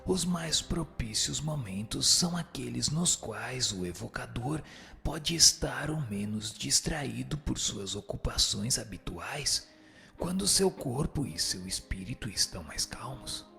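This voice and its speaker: A man playing a calm, firm spirit teacher who gives measured, didactic answers in a scripted reading, with a breathy, gravelly voice. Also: mid-range voice, exaggerated voice. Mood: solemn, instructive. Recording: music bed under the voice.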